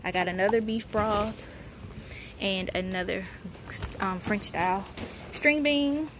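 A woman vlogger narrating a haul casually at home, in a matter-of-fact, halting way as she lists items. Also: medium pitch, noisy background. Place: indoors at home, carpeted room.

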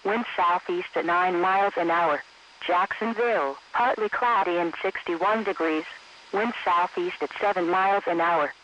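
High, stilted male weather radio announcer. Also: moderate pace, pushed and bright. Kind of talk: scripted readout of weather observations. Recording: muffled, hissy weather radio broadcast.

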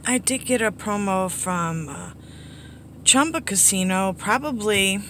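A hoarse middle-aged woman talks casually to her viewers from inside a car, on a phone held at arm's length. Her voice is bright and forceful despite sounding under the weather. She rambles apologetically, with faint vehicle noise behind her. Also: unhurried. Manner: apologetic, low-key. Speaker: slots vlogger.

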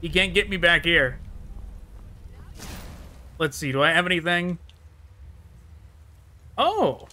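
A man's voice: on the high side, slow, pauses often, bright, forceful tone. A man giving casual gameplay commentary, offhand and talking to himself as he plays. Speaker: gaming channel host playing the game.

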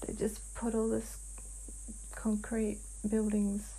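A woman describing a trance vision in a dreamlike way as a guided hypnosis client, halting and hushed, with long pauses. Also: mid-range voice, completely flat delivery, breathy edge, low-quality recording.

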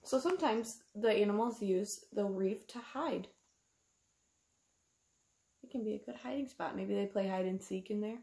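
A woman's voice: mid-range voice, moderate pace, creaky, long pauses, mellow. A woman, reading a picture book aloud like a teacher, explaining simply to young children and asking them questions. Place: small room at home, indoors.

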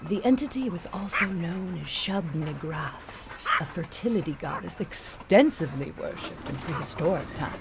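An actress playing a chatty, eccentric older widow who tells folklore in a grandiose, theatrical way in an audio drama. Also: female voice, lowish voice, lively intonation, moderate pace, vocal fry, noisy background. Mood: ominous. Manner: theatrical storytelling, grandiose diction.

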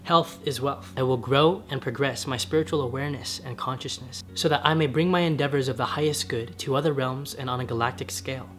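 A young man with a slightly raspy voice, talking earnestly to camera in a casual vlog from his home garage. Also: medium pitch, brisk, hardly any pauses, faint music behind. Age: young adult. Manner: earnest, gesturing with his hands while sitting on the floor. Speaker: vlogger. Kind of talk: casual spiritual vlog talk. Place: home garage, medium-sized, indoors.

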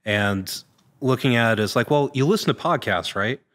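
A man's voice: mid-range voice, animated, normal speed, a bit bright. A man in his thirties talking casually as an actor being interviewed, speaking into a podcast microphone. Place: large indoor room with hard floor and open ceiling. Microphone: podcast microphone on a boom arm.

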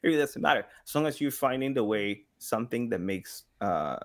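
A man in his thirties chatting casually as a podcast guest, telling anecdotes in an expressive, joking way. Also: medium pitch, fairly quick. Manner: joking, expressive, hands-on storyteller. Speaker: podcast guest, game sound designer.

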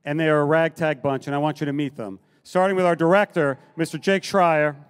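High-pitched man presenting on stage. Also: steady tone, brisk, smooth. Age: middle-aged. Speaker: presenter at a fan convention. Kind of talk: stage announcements introducing guests. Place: large convention hall stage. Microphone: handheld microphone. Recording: live stage PA with music.

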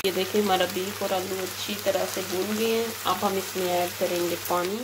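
Flat-voiced woman teaching a home recipe. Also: mid-range voice, heavy background noise. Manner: plain, measured listing of ingredients. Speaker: cooking channel host. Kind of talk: step-by-step recipe instruction. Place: home kitchen. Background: frying and stirring sounds.

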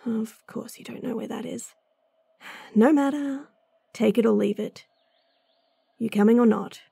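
A woman voicing a gruff, gravelly bounty hunter. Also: fairly high pitch, unhurried, warm tone, no room sound, heavily acted. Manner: blunt, sardonic, sighing often. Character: gruff, impatient female bounty hunter swordswoman, young adult. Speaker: voice actress. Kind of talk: fantasy roleplay audio drama.

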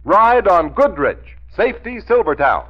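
Lively high-pitched American radio announcer, male. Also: measured pace, breathy edge. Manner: emphatic, formal, dramatic delivery. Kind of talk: 1930s radio announcing, scripted narration and advertising. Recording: muffled 1930s radio recording.

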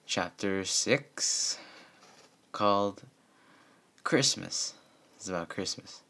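A man with a fairly low voice reads a story aloud like a homemade audiobook. His delivery is a little halting, with the odd stumble. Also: gravelly, bright, forceful tone. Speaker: reader of fan fiction. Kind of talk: amateur audiobook-style story reading.

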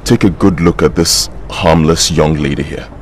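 An actor playing a protective, blunt older man, deep and soothing. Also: moderate pace, a little hiss or hum. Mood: confrontational. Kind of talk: melodrama acting.

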